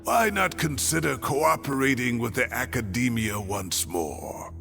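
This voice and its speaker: An actor playing a weary, earnest older scholar in a video game, speaking in a reflective way. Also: male voice, medium pitch, lively intonation, unhurried, vocal fry. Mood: earnest, wistful. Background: background music.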